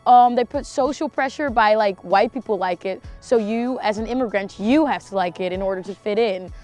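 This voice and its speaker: A woman's voice: medium pitch, moderate pace, darkish tone, faint music behind. A woman of twenty-one, telling her personal story in a reflective, conversational way. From Netherlands.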